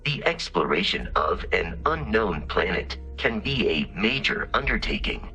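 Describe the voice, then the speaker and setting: A man's voice: low voice, big pitch swings, measured pace, pushed and bright, lo-fi sound, soft background music, heavily acted. A man playing a polite, dutiful robot assistant in a video game, speaking formally and precisely. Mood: polite, helpful. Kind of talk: video game character dialogue.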